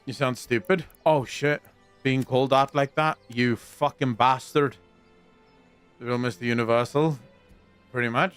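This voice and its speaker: A man's voice: mid-range voice, steady tone, measured pace, long pauses, fairly bright tone, clear, resonant tone, soft background music. A South African man streaming a game, chatting casually with viewers and swearing now and then. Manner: chatty, swears casually, reads and answers chat. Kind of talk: casual gameplay livestream chat.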